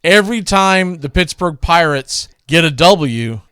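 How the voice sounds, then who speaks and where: A man's voice: a little high, lively intonation, a little slow, sharp tone, clean tone, no echo, studio quality. A man hosting a sports radio show, talking casually and reflectively about baseball like a devoted fan. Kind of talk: casual baseball commentary introducing archive calls.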